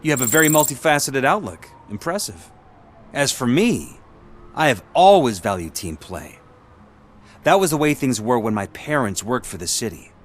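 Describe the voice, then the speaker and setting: Smooth pompous city politician for a game, formal wording, voice moves around a lot. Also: male voice, medium pitch, very animated, normal speed. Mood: courteous. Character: polished, ambitious male civic politician. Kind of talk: video game dialogue acting.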